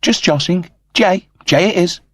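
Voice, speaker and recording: A man's voice: sits high, unhurried, no echo, clean studio sound, pitched above the performer's own voice. A man voicing a confident young woman who is breezy, playful and teasing. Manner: casual, playful.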